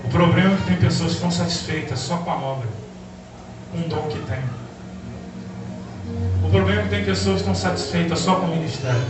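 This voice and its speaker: A man preaching a sermon, impassioned, swinging between hushed passages and shouted calls while addressing the congregation as brother. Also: on the high side, fast, pauses often. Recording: echoey hall, voice far from the mic, music behind. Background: music.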